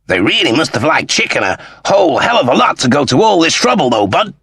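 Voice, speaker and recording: A man's voice: a little high, very animated, brisk, creaky, a bit bright, breathy edge, dry recording, clean studio sound, voice pushed up, exaggerated voice. A man voicing a vain, mischievous male humanoid cat with a slangy, flippant way of talking, in an audiobook story.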